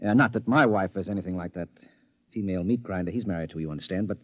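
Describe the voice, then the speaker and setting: An actor playing an evasive, impatient suburban husband in an old-time radio drama, hesitant and brusque in turns. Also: male voice, low voice, fast talker, lo-fi sound. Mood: nervous, eager.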